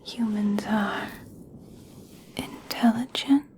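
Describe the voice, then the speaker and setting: A woman playing a gentle, caring young elf princess in a soothing, breathy ASMR roleplay, speaking deliberately with long pauses. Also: medium pitch, a little hiss or hum, lightly acted. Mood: gently explaining. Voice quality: breathy whisper. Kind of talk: ASMR personal attention roleplay.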